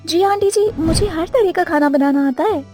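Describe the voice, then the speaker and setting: A woman's voice: very high voice, normal speed, darkish tone, soft background music. A woman playing a naive, eager, carefree young daughter or daughter-in-law, girlish and coaxing, in a story cartoon. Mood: polite. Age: young adult. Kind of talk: Hindi moral story cartoon dialogue.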